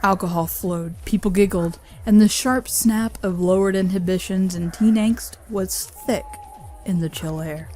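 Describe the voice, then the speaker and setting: A woman's voice: medium pitch, unhurried, dark tone. A woman giving scripted narration of a horror story as its narrator. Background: music.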